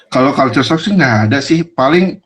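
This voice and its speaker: A young man with a non-Jakarta regional Indonesian accent, telling stories as a podcast guest in a relaxed, rambling way. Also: mid-range voice, moderate pace. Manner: laid-back, rambling with fillers and laughs. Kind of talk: casual storytelling chat.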